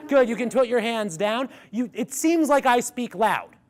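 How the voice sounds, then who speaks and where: A man's voice: very high-pitched, moderate pace, slight background noise. Ringy-voiced man leading a workshop. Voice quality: ringy, resonant speaking voice. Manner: energetic, coaching the audience through vocal exercises. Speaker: music education workshop presenter. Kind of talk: conference workshop talk. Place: conference room with a live audience.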